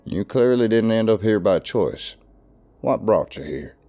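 A man's voice: lowish voice, expressive, unhurried, warm tone, soft background music, pitched above the performer's own voice. A man with a Southern US accent voicing a stern, ominous older recluse.

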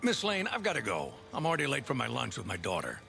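A man's voice: medium pitch, huge pitch swings, quick pace, gravelly, over music, exaggerated voice. An actor playing a weary older male police commissioner in a video game.

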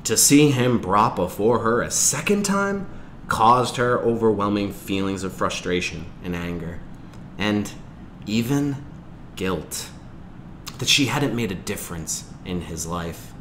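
A man reading a novel aloud as a teacher, in measured, expressive storytelling narration. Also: on the low side. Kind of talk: audiobook-style read-aloud narration. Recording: clear background noise.

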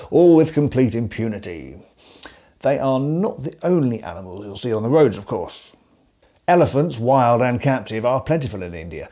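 Deadpan English man with a mellow voice. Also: medium pitch. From England. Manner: dry, deadpan and wry. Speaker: host. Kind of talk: scripted comedic narration. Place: indoors, seated at home. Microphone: facing camera at close range.

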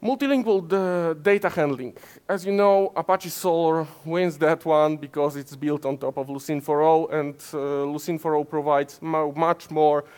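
Polish-accented man in his 30s, conference tech speaker, a little high-pitched, halting with fillers. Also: steady tone, darkish tone, smooth. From Poland. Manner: many fillers and self-corrections, gesturing while explaining. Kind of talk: technical conference talk. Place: conference stage in front of slides, indoors.

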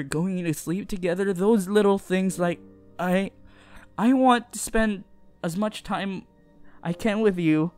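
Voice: mid-range voice, darkish tone, close to an everyday voice. A performer voicing a nervous, sweet teenage boy hero-in-training who stammers and mumbles in a fan roleplay audio. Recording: music behind the voice.

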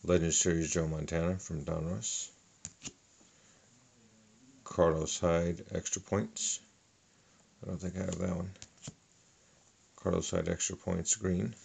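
A middle-aged man calmly listing sports cards one by one at a table, with a lot of fillers. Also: low-pitched, slow pace, vocal fry, pauses often, slightly rough. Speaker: card collector. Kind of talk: casual card unboxing narration. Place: indoors at a table.

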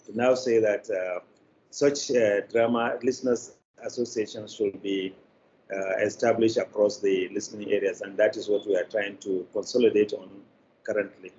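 A man's voice: on the low side, fairly even intonation, darkish tone. Older Nigerian man, dull noisy call. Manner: deliberate, formal wording with frequent pauses. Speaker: interview guest, media producer. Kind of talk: measured interview answers. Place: plain indoor room, video call.

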